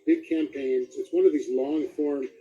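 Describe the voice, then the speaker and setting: A man's voice: on the high side, steady tone, normal speed, warm tone. A middle-aged man chatting casually as an interview guest, with a self-deprecating manner. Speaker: guest voice actor. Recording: dull, lo-fi audio.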